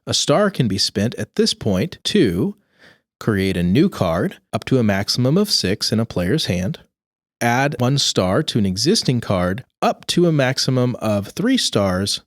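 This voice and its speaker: Lively-pitched man reading out rules. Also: dry recording, clean studio sound. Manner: precise, instructional. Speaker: co-host reading game rules. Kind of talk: scripted rulebook narration.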